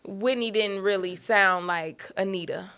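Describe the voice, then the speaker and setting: Gravelly-voiced woman chatting easily. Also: mid-range voice, a little slow. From Detroit. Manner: relaxed, trailing restarts and fillers. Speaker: guest singer-songwriter. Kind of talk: casual chat.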